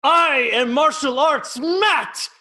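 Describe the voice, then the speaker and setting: A man's voice: extremely high pitch, slow, bright, forceful tone, heavily acted. A man playing a cocky, mock-solemn little brother posing as a kung fu hero in a sitcom table read. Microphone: video call.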